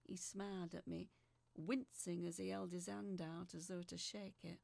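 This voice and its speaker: A woman playing a feisty woman recounting a strange ordeal in expressive, sharp-tongued audio drama narration. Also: lowish voice, moderate pace, vocal fry, dry recording. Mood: wry, uneasy. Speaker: narrator reading a letter aloud. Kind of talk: dramatic first-person storytelling in audio fiction.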